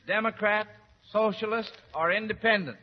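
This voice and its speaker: Male quiz host, high voice, avuncular, hesitant, muffled vintage radio sound. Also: moderate pace. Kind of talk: old-time radio quiz hosting. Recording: muffled old radio recording, reverberant, faint noise.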